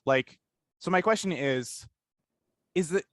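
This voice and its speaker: A man's voice: fairly high pitch, slow, a bit bright, dry recording, studio quality. A man hosting a casual interview, rambling and interrupting himself as he thinks aloud. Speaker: interview host.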